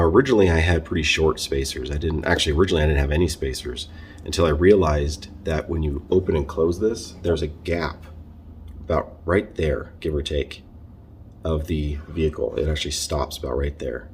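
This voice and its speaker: A man talking casually through a how-to vlog in a halting, conversational way, often correcting himself. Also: rumbling low, darkish tone, slight background noise. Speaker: video creator showing a car radio install.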